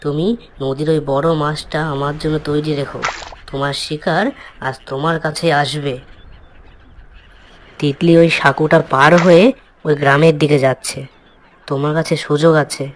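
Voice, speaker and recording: Cartoon villain jackal, acted out: scheming, gloating, medium pitch, faint background noise. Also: flat delivery, normal speed, pauses often, natural voice. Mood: sly, scheming. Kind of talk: children's fairy-tale cartoon acting.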